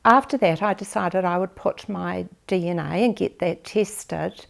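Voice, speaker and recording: A New Zealand woman in her fifties or sixties, recounting her family memories for a documentary interview in a measured, reflective way with pauses. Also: fairly low pitch, gravelly. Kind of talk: personal family memoir.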